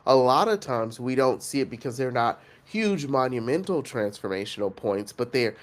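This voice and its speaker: A man hosting a coaching challenge, speaking earnestly and reflectively with pauses and fillers, his voice nasal from congestion. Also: mid-range voice, expressive. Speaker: host and facilitator of an online coaching challenge. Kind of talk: motivational coaching talk.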